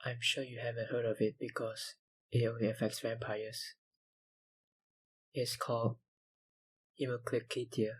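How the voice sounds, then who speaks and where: A man playing an obsessive, dominant, hungry vampire researcher in an intimate ASMR roleplay, with a breathy, gravelly voice and a softly menacing manner. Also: medium pitch, little pitch movement, poor audio. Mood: confiding. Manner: slow, intimate, softly menacing, lapsing into whispers.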